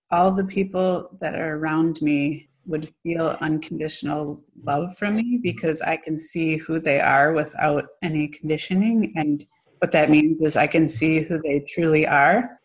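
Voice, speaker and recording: A woman's voice: low-pitched, steady tone, measured pace, poor audio. A woman in a casual chat, speaking in an upbeat, affirming way.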